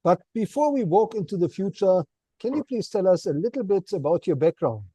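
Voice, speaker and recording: A man's voice: sits high, expressive, fairly quick, warm tone, husky, dry recording. A man hosting an interview, asking his guest polite, formally worded questions. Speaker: interview host.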